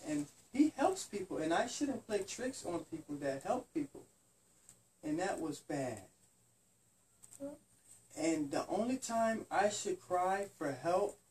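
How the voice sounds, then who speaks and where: A man's voice: fairly high pitch, a little mellow. Storybook bunny child, repentant and earnest, acted by a man, recorded from a distance. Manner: childlike, repentant, repeats promises. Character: little bunny rabbit child, sorry and earnest.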